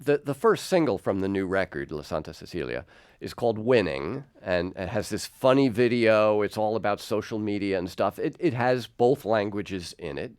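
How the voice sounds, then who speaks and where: Male radio host, animated pitch, close dry studio sound, thoughtful, halting interview questions. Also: clear, resonant tone, no echo.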